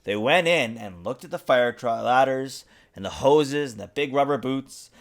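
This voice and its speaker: Man, children's story narration, expressive, very varied pitch, dramatic emphasis. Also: normal speed, gravelly. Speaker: father reading to his young daughter. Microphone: USB desktop mic.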